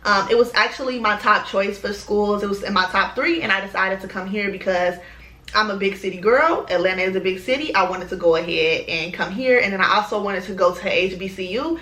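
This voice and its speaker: Young woman, bright clear tone, rambling chatty vlog talk, roomy echo. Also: mid-range voice, a little fast. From Chicago, US. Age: 20s. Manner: chatty, rambling with many ums and slang. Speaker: lifestyle vlogger. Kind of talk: casual chat to camera.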